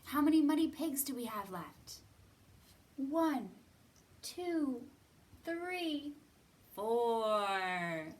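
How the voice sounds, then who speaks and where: A woman hosting a children's storytime, reading and counting in an expressive, sing-song way with drawn-out words. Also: high voice. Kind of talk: expressive read-aloud and counting for young children. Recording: somewhat distant from the mic.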